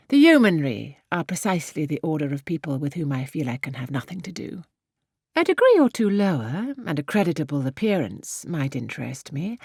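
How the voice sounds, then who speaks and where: A coolly superior young English lady of the upper class, played by a woman narrator in a classic audiobook. She speaks low and poised, with condescending measure and a breathy edge. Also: a little mellow, dry recording, clean studio sound, heavily acted. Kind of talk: classic novel audiobook character voice.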